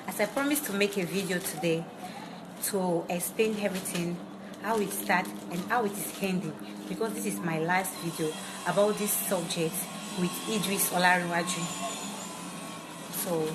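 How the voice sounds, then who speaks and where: A woman partly of Nigerian background, not a native English speaker, ranting bluntly to camera in halting English with many restarts. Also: lowish voice, very noisy. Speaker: vlogger. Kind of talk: direct-to-camera rant. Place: Ivory Coast.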